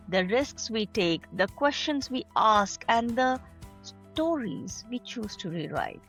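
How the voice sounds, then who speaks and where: A woman hosting a podcast, giving an earnest, measured introduction and then asking her guest questions. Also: high voice, a bit bright. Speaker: podcast host. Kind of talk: podcast interview.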